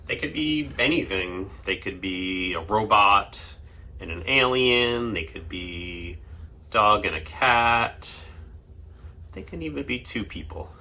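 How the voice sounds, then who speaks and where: A man's voice: lowish voice, animated, slow pace, pushed and bright. A man hosting a children's drawing lesson, casually talking through each step in an informal, playful way with simple explanations. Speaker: host of a children's drawing segment.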